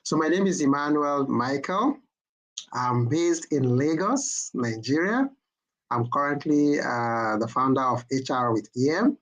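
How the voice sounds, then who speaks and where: A man who works as a career coach introducing himself on an online panel in a measured way over a video call. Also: mid-range voice, lively intonation, fairly bright tone, dull audio.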